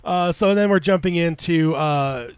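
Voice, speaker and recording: A man's voice: on the high side, a little slow, smooth, no echo. A man co-hosting a casual podcast, talking in a rambling way with lots of "uh" fillers and a slightly congested voice. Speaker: podcast co-host.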